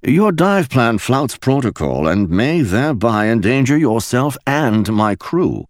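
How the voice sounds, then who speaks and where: A man's voice: medium pitch, big pitch swings, a little slow, dry recording, clean studio sound, pitched above the performer's own voice. A man voicing a young English naval captain who speaks in a starchy, formal, proper manner. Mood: stern, proper.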